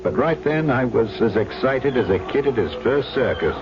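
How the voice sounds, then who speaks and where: Honest island trader played by a man, mid-pitched, folksy, earnest storyteller, dull old radio sound with music. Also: animated, moderate pace, close to an everyday voice. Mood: wry storytelling. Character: plain-spoken, decent, good-natured male island trader narrating. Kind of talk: 1970s radio drama narration and acting. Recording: muffled vintage radio, music behind.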